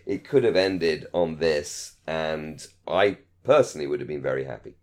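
A man's voice: very deep voice, animated, moderate pace. A middle-aged man co-hosting a casual fan discussion, talking emphatically but hesitantly and often interrupting himself. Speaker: podcast co-host. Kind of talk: casual chat, fan discussion of a radio drama.

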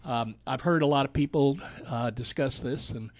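Older guy co-hosting radio, casual talk, halting and explanatory, medium pitch. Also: dry recording. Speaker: radio show co-host. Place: radio studio.

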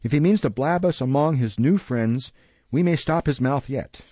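A man voicing an excitable, scheming old male thief boss with a shrill voice. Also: moderate pace, breathy edge, no room sound, highs cut off, lightly acted. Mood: musing. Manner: excitable, fussing.

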